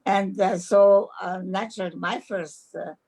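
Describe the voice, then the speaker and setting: A woman in her nineties from Romania, native Hungarian speaker, recalling her childhood as an interview guest, halting with many fillers over a video call. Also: mid-range voice, fairly even intonation, darkish tone. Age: 90s. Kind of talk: recalling her life in conversation.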